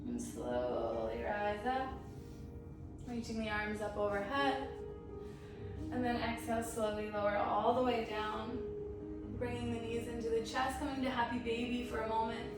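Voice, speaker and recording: A woman teaches a yoga class in a higher-pitched voice. Her manner is gentle and encouraging as she gives step-by-step guidance. She sounds set back from the mic in a very reverberant space. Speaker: yoga instructor. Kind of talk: guided vinyasa yoga class. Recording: very echoey, distant, music playing. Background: music.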